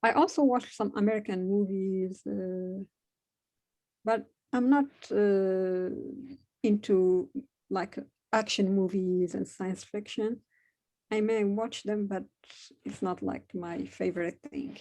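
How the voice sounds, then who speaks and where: A woman from Morocco whose first language is Moroccan Arabic, speaking English with a Moroccan accent. She answers interview questions in a hesitant, casual way, with frequent pauses and fillers. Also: medium pitch, steady tone, warm tone. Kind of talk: conversational interview.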